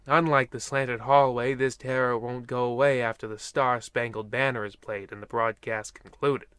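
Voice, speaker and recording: A man's voice: mid-range voice, fairly even intonation, moderate pace, fairly bright tone, clear, resonant tone, dry recording, lightly acted. A man playing an ominous, wry old-time radio horror host, theatrical and portentous with dry jokes. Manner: theatrical, portentous, dryly joking. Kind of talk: 1950s radio anthology host parody.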